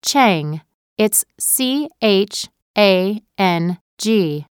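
A woman voices Beth, a polite young student who is new in town. Her voice is smooth and clean, her delivery is slow, and she pronounces every word with care. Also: mid-range voice, lively intonation, no echo, clean studio sound. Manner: careful, clear pronunciation. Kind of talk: scripted textbook dialogue.

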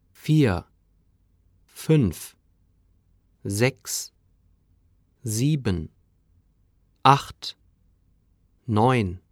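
A man reading numbers and dialogue lines for a language course with clear, deliberate enunciation. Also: mid-range voice, dry recording, clean studio sound. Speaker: course audio speaker. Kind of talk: slow textbook reading.

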